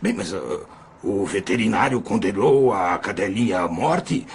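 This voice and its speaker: Kindly old pharmacist, really raspy n creaky, hesitant, lilts up and down, audio drama. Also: male voice, lowish voice, lively intonation, exaggerated voice. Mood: hesitant, doubtful. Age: older. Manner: hesitant, halting, fatherly. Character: kindly, shrewd old male pharmacist, sensitive grandfather.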